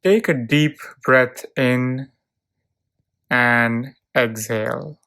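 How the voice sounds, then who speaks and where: Slow, smooth guided relaxation voice. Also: mid-range voice. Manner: calm, soothing, measured delivery with long pauses. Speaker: guided meditation narrator. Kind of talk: guided visualization, relaxation script.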